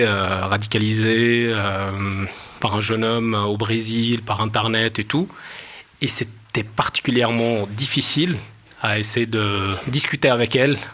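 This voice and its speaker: A man, an actor whose first language is Arabic, calmly and earnestly recounting a debate with a student. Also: lowish voice. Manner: calm, earnest, hesitant fillers. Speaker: stage actor. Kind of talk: interview anecdote.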